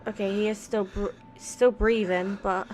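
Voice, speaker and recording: A woman's voice: mid-range voice, steady tone, measured pace, a little mellow, slight background noise. A woman gaming creator giving casual, informal, reactive let's play commentary. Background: faint game music.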